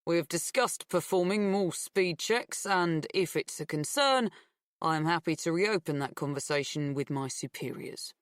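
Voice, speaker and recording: Woman as a measured young policeman. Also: on the low side, no echo, clean studio sound, close to an everyday voice. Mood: calm, official. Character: young male police officer, polite, guarded, faintly amused.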